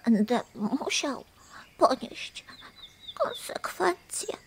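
Sheepish little bear cub. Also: female voice, high voice, sing-song, very slow, full character voice. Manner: hesitant, mumbling, whispery. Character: guilty young male bear cub. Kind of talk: children's radio play acting. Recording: noisy background.

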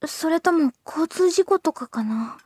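A teenage schoolgirl with a high, dryly recorded voice. She is pessimistic and down on herself, speaking politely but easily flustered, in anime drama style. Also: animated, measured pace, darkish tone, dry recording. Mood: gloomy, brooding. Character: gloomy, self-loathing sixteen-year-old schoolgirl. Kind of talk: anime audio drama acting.

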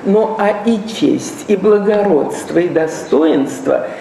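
Older woman, round-table remarks, gravelly voice, echoey hall. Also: fairly low pitch, expressive, takes it slowly, mellow. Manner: earnest, conversational, addressing the speaker. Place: conference hall panel table. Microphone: table microphone. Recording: echoey hall, faint background noise.

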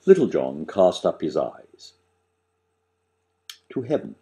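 An older man recites satirical rhyme in a low voice that swings widely in pitch. He takes his time, leaning on key words with theatrical relish and then dropping away. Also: wildly swooping pitch, mellow. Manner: dramatic, deliberate delivery with emphatic swells and drops. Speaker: author reading own verse. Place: small living room, on a sofa, indoors.

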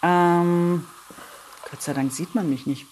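A woman with a husky voice in a casual podcast chat, informal and slangy, often interrupting herself. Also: on the low side, fairly even intonation, takes it slowly, a little mellow, a little hiss or hum, poor audio. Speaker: podcast guest, audiobook narrator.

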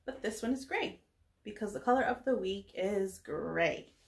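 A young woman, a preschool teacher, giving a lesson to small children in deliberate short phrases with pauses. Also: mid-range voice, animated, gravelly. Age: young adult. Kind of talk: slow lesson for young children, filmed read-aloud greeting. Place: small indoor classroom-style room with bulletin board. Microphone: camera a few steps away. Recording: roomy echo, distant microphone.

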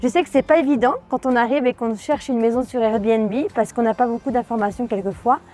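A woman in her thirties, from France, hosts her family's travel vlog and speaks directly to viewers. Her voice sits a little high, with quiet music under it. She explains things patiently while she stands outdoors in a backyard, filmed in a medium shot. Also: fairly even intonation, brisk. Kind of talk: casual chat to camera. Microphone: medium shot from a camera on a tripod.

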